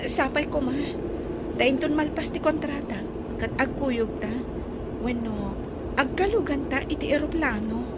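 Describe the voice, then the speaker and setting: A woman playing a softly wistful young migrant worker in an audio drama. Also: high voice, measured pace, heavy background noise. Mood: friendly.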